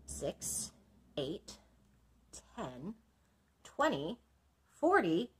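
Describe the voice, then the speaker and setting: A woman's voice: on the low side, big pitch swings, drawn-out words, slight background noise, exaggerated voice. A woman voicing a polite, crafty male blacksmith and father in a storybook, deferential and matter-of-fact. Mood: busy, reckoning.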